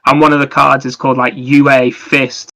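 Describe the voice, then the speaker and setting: A man's voice: mid-range voice, level tone, moderate pace, vocal fry, bright, forceful tone. A man chatting casually as a gaming podcast co-host, wandering off into anecdotes.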